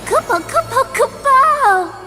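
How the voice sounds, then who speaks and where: A woman's voice: extremely high pitch, lively intonation, slow, sharp tone, soft background music, exaggerated voice. A woman voicing a small, eager, loyal fairy creature in a video game, chirpy and full of exclamations. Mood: excited. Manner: chirpy, exclamatory, punctuates speech with a verbal tic. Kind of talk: video game cutscene acting. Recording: long hall reverb.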